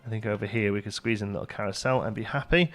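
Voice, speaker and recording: A man's voice: lowish voice, very fast. A man hosting a let's play, chatting casually and thinking aloud as the game goes along. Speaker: gaming video host.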